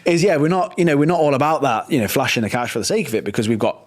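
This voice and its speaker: A man's voice: medium pitch, lively intonation. A man in his thirties, co-hosting a casual business podcast with chatty banter and lots of fillers. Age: 30s. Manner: quick, chatty, full of fillers and restarts. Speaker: podcast co-host.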